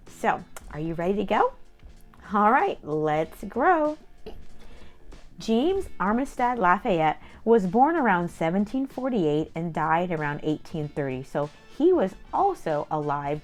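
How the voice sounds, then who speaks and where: A woman hosting a children's storytime, reading aloud expressively and speaking directly to young listeners. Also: low voice.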